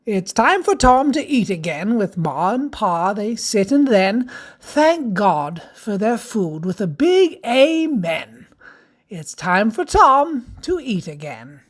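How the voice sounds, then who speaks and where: A woman narrating a rhyming children's picture book in a theatrical, sing-song, deliberate way. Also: a little high, fairly bright tone. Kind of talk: dramatic read-aloud of a rhyming picture book.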